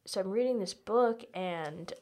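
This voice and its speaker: A woman's voice: medium pitch, measured pace, a little mellow. A woman in her late twenties chatting casually and confessionally on a podcast, speaking candidly with "like" in nearly every phrase. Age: late 20s. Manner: candid, filler-heavy, uses "like" constantly.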